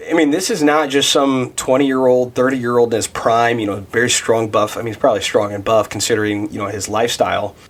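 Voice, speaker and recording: A man's voice: fairly low pitch, fairly bright tone. A man in his thirties giving a casual devotional Bible teaching, thinking aloud with many restarts and fillers. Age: 30s.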